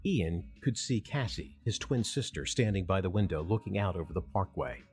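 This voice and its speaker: A man's voice: low voice, lively intonation, normal speed, a little mellow, faint music behind. A man narrating an audio drama in a scripted storytelling style.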